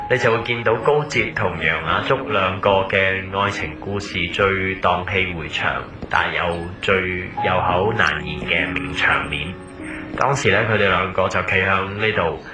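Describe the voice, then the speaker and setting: A man with a Hong Kong Cantonese accent playing a wistful former lover who leaves a recorded voice message, casual and nostalgic, guiding the listener along like a tour guide. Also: low-pitched. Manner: casual, nostalgic, tour-guide patter mixed with English words. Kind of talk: audio drama monologue as voice message. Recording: roomy, slightly distant, music behind.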